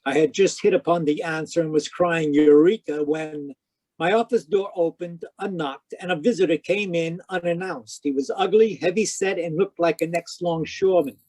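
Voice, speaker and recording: A man's voice: on the high side, normal speed, darkish tone, no echo, poor audio. A man playing a sardonic, wisecracking private detective, narrating an old-time radio mystery in a wry scripted style.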